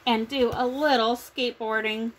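Woman, middle-aged, high-pitched voice, children's book read-aloud, home recording. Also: fairly even intonation, moderate pace, rough recording. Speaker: children's story reader.

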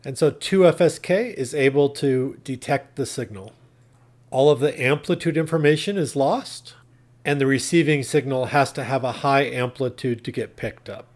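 A man's voice: medium pitch, moderate pace, a little mellow. A man narrating a tech tutorial in a plain, instructional, step-by-step way. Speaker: tutorial presenter.

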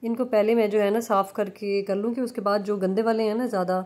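A woman's voice: medium pitch, fairly even intonation, quick pace, darkish tone. Young woman rambling about cleaning her kitchen, lots of fillers, easygoing narration. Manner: chatty, many fillers and restarts. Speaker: home cleaning vlogger. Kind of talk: casual voice-over narration. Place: home kitchen.

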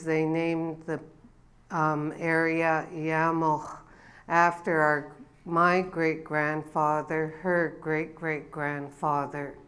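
A woman in her sixties, an elder giving a welcome address on a stage, telling her family's history in an unhurried way with a body-worn mic. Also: low-pitched, a bit bright. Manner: slow, unhurried storytelling with rising ceremonial calls. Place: dark event stage before an audience.

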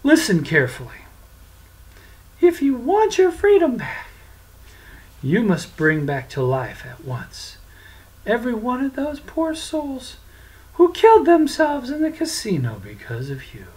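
A storyteller voicing a bold young man who mocks and commands the devil in a high, strained voice. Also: wildly swooping pitch, unhurried, pauses often, darkish tone, poor audio. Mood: mocking. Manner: mocking, commanding. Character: bold young man hero, shrill and defiant.

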